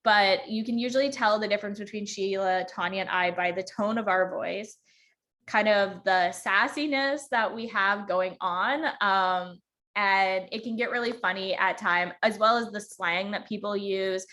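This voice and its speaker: Woman podcast host, slight central Alberta accent, quick informal workshop delivery, lots of ums. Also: medium pitch, sharp tone. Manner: fast talker, many ums. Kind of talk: informal teaching talk.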